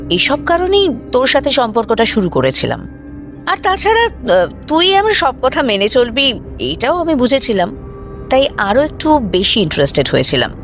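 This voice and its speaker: An actress playing a cold, bitter young girlfriend in an audio drama, speaking in deliberate, accusing phrases. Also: fairly high pitch, fairly bright tone, exaggerated voice. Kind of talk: Bengali audio drama acting.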